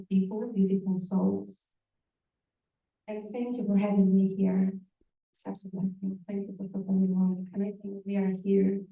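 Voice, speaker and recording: A woman who works as a personal development coach, giving a grateful acceptance speech over a video call in a warm, effusive way. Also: mid-range voice. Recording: echoey distant lo-fi call audio.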